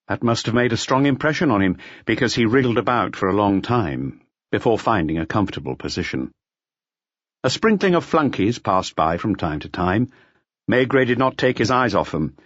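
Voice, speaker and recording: A man with a lowish voice narrates a mystery audiobook expressively, with animated intonation. The sound is dry and close, on a slightly dull, lo-fi recording. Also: dry recording.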